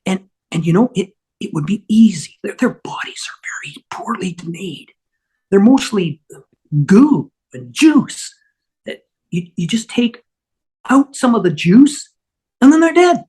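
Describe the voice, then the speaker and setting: Husky slow stammering schemer guy, woman doing him, apologetic and kinda morbid, table read. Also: medium pitch, lively intonation, mellow, low-quality recording. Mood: conspiratorial, morbid. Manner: halting, stammering explanations. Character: flustered, apologetic male otherworldly schemer, coolly morbid. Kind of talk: amateur script table read.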